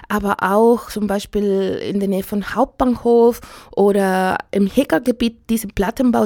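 A middle-aged woman from Chile with a Chilean Spanish accent, Spanish her native language, speaking German thoughtfully with many pauses and fillers in a casual radio interview. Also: medium pitch, mellow, no echo, studio quality.

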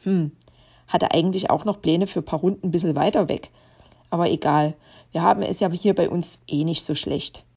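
A slightly muffled recording of an easygoing, resigned woman, played by an actress with a husky voice. She voices online comments for a radio play collage. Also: on the low side, moderate pace, darkish tone. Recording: dull, muffled sound.

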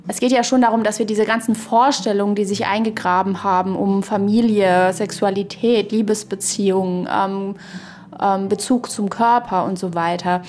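A woman's voice: medium pitch, fairly even intonation, moderate pace, darkish tone, slight background noise. A woman co-hosting a podcast in casual discussion, searching for words, rambling and trailing off mid-thought. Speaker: podcast co-host. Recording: voice over background music. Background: music.

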